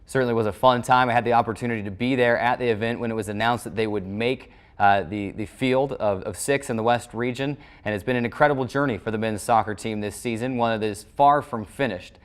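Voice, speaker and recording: A man's voice: mid-range voice, steady tone, fast, few pauses, smooth. A man hosting a sports show, reading a scripted recap in formal broadcast phrasing.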